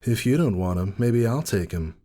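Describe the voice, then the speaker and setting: A man's voice: on the low side, expressive, moderate pace, mellow, voice pushed up, close to an everyday voice. A man voicing a playful, sly, coy female pony wife in a dramatic story reading. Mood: sly teasing.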